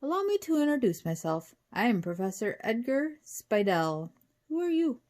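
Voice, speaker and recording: A kindly little British professor from a children's storybook, played by a woman. He is calm, polite and quaintly proper, with a voice that often lifts high. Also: moderate pace. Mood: polite, welcoming. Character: kindly, genteel little old-fashioned male professor.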